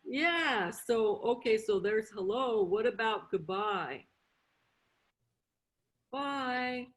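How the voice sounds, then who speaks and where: A woman's voice: a little high, expressive, extremely slow. A middle-aged woman giving an informal beginner lesson, explaining in short, simple steps with repetition and questions to check in. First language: English. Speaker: sign language interpreter and instructor.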